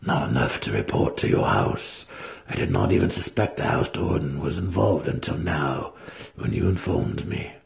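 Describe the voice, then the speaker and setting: A man voicing a nervous, deferential male dark elf wizard in a fantasy audiobook, speaking cautiously and hesitantly. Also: low voice, lively intonation, normal speed, vocal fry, slight background noise, full character voice. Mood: cautious, stammering.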